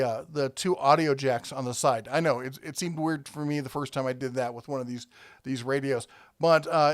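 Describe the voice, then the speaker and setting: Higher-pitched man, casual review chat. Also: clear, resonant tone, no echo, clean studio sound. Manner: rambling, thinking aloud as he goes. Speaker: product review host. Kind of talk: casual, conversational product walkthrough.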